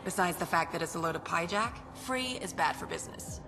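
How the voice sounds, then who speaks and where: A woman's voice: fairly low pitch, lively intonation, a little fast, over music, close to an everyday voice. An actress playing a jaded woman bartender who answers in short, sardonic replies. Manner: laconic, sardonic.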